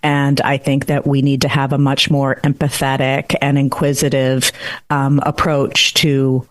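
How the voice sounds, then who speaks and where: A woman's voice: very deep voice, monotone, a little slow. A middle-aged woman who hosts a true-crime podcast, answering interview questions earnestly and laughing easily.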